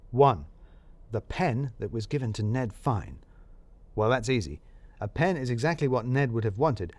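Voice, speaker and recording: A man's voice: medium pitch, a little mellow, dry recording. A man narrating a scripted audio drama as a detective presenting his case, dry and wry.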